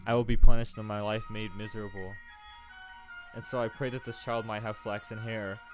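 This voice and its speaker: A man's voice: fairly low pitch, level tone, fairly quick, over music, natural voice. A man reading as a fearful secret lover.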